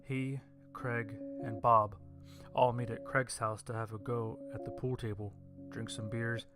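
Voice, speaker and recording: A man's voice: medium pitch, level tone, a little slow. A man reading a scripted story as its narrator. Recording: music behind the voice. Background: music.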